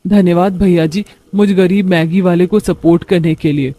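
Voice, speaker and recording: Man acting young village wife, high voice, humble, polite, deferential, storybook drama. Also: fairly even intonation, moderate pace, warm tone, close to an everyday voice. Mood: polite, grateful. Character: humble, hardworking young daughter-in-law, sweet and polite.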